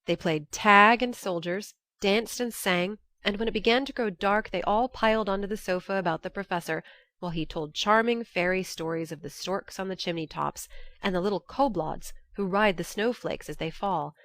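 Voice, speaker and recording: A woman's voice: mid-range voice, normal speed, fairly bright tone, no echo. A woman narrating an audiobook, reading a classic novel's first-person letters as scripted narration.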